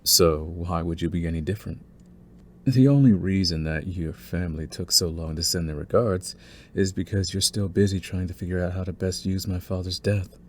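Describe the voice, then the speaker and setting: A man playing a young mafia heir in an intimate audio roleplay, smooth-spoken and deliberate, with a cunning, condescending streak beneath his courtesy. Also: sits low, steady tone, slight background noise, close to an everyday voice. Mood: courteous, probing. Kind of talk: intimate scripted audio roleplay, ASMR acting.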